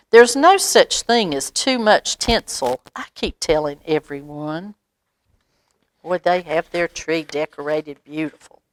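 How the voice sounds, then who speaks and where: Expressive, unhurried American woman reading to children. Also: fairly low pitch, no echo. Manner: expressive, drawn-out words with asides explaining to children. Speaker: library storytime reader. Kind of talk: children's picture book read-aloud.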